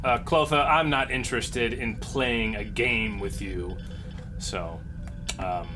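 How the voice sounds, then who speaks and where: A man improvising as a starship captain in a tabletop game, talking in a casual, halting and playful way. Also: medium pitch, steady tone. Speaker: player acting as starship captain. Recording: music playing behind the voice.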